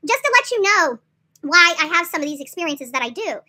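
A woman's voice: very high-pitched, sing-song, a little fast, bright, forceful tone. A woman in her forties telling stories casually to camera, emphatic and repetitive, often tagging sentences with little check-in questions. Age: 40s. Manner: emphatic, repeats herself, often checks with "okay?" and "right?". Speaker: vlogger. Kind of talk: animated casual storytelling to camera. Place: small indoor room.

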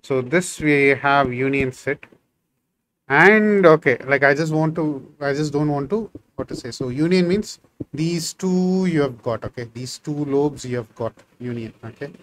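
A man's voice: a little high, a little slow, fairly bright tone, slight background noise. A man in his thirties whose first language is Tamil, giving a casual screen-share coding tutorial from a small room and thinking aloud with many fillers. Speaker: programming tutor.

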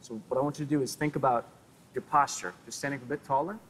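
A man's voice: medium pitch, steady tone, quick pace, a bit bright, a little hiss or hum, poor audio. A man coaching a young singer, explaining posture and voice in an earnest, encouraging way. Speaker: singing show coach. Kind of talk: vocal coaching a contestant. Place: large TV studio with an audience.

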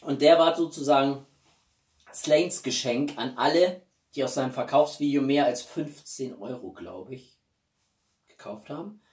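A man in his forties chatting casually to viewers as a video host, with an expressive, emphatic delivery and dramatic pauses. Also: medium pitch. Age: 40s. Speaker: YouTube host. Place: small living room indoors. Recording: roomy echo, distant mic.

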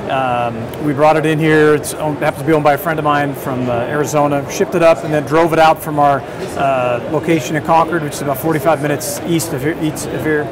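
A man's voice: fairly high pitch, steady tone, brisk, noisy background. A man in his forties, a company representative, chatting casually in an interview at a busy indoor trade show. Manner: easygoing, chatty with fillers and restarts. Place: busy indoor expo hall. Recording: loud crowd chatter behind.

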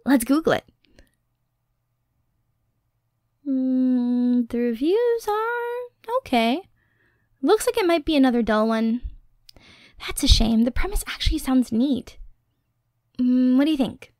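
A high-pitched, excitable young girlfriend in an audio roleplay acted by a woman. She is nerdy, playful and affectionate, speaking unhurriedly and close to a dry microphone. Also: a little slow, pauses often, no room sound. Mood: musing, casual. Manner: playful, expressive, teasing, nerdy rambling. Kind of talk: ASMR girlfriend roleplay, intimate acted monologue. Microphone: close, dry studio microphone.

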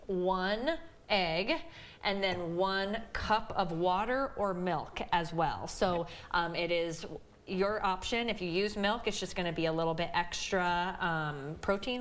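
A woman in her thirties with a Midwestern American accent, a dietitian explaining a recipe in a chatty way with many fillers during a TV cooking segment. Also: on the low side. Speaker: dietitian guest. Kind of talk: cooking demonstration on morning TV. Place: home kitchen TV set.

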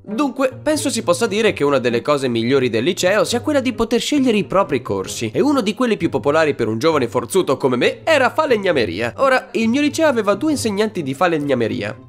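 A man's voice: high-pitched, wildly swooping pitch, quick pace, few pauses, faint music behind. A man narrating a scripted comic story in a sarcastic, profane manner.

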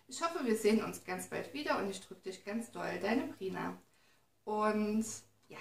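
Young woman vlogger, excitable and chatty, some vocal fry, recorded from a few feet away in a roomy living room. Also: mid-range voice. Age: young adult. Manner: chatty, excitable, reads notes aloud. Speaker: beauty vlogger. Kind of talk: casual unboxing chat. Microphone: camera mic from a few feet away. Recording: roomy, distant camera sound with faint noise.

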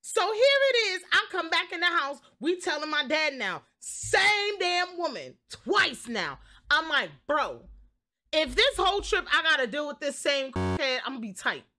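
Very high, animated New woman. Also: normal speed. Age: young adult. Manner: expressive, slangy, sweary. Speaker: game streamer. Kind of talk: animated casual storytelling on a livestream.

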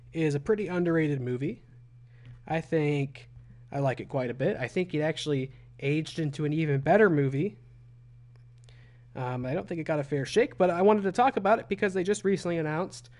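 Hoarse, phlegmy young man streaming. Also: fairly high pitch. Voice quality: raspy, phlegmy. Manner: chatty, self-deprecating. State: hoarse from a cold. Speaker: gaming streamer. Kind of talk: casual stream chat.

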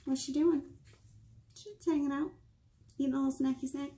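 An American woman in her thirties leading a picture-book storytime for small children, playful and expressive, asking them questions. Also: high-pitched, moderate pace, a little hiss or hum. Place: small indoor room with whiteboard.

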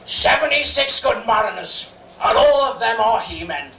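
A man's voice: extremely high pitch, lively intonation, moderate pace, gravelly, music in the background, exaggerated voice. A man playing a lecherous, bossy sea captain in a bawdy amateur pantomime, blustering in rhyme. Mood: boastful. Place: small bar stage, distant camera. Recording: echoey noisy bar, far off, audience.

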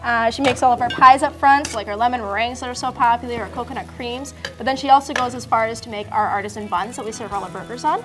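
High-voiced, fast-talking young woman. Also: fairly even intonation, over music. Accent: Upper Midwest American. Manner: chatty, cheerful, upbeat. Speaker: assistant restaurant manager. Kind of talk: cooking demonstration. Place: indoor studio kitchen set.

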